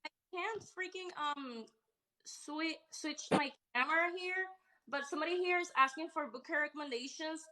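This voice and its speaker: A woman's voice: high-pitched, moderate pace, pushed and bright, poor audio. A woman from Puerto Rico, a professor, chatting on a live stream in a bubbly, teasing way. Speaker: professor, podcast guest. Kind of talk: casual live-stream chat.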